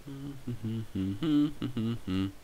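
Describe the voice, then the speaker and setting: A young man commenting casually on his gameplay, wry and talking to himself, his voice going hoarse. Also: medium pitch, very slow, warm tone, slight background noise. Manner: laid-back, wry, talks to himself while playing. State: losing his voice. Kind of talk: casual let's play commentary.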